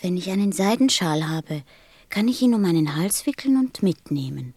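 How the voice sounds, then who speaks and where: A woman's voice: fairly low pitch, expressive, moderate pace, warm tone, husky, dry recording, clean studio sound. A woman playing a curious, earnest young boy in a radio play, innocent and persistent in manner. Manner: innocent, insistent questioning.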